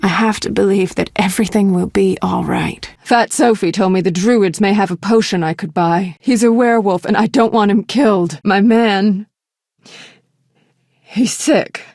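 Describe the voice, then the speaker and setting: An actress playing a streetwise escort in a fantasy video game, flirtatious yet tender, with a coy, sweet-talking manner. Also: female voice, medium pitch, normal speed, gravelly, darkish tone, no echo, studio quality. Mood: happy, hopeful, then worried, pleading.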